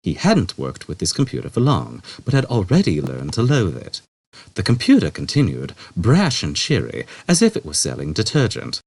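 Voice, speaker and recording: A man narrates a novel with a husky edge and a very expressive, widely moving pitch. The sound is dry and close, suited to a dramatic audiobook. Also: moderate pace, no room sound. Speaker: audiobook reader.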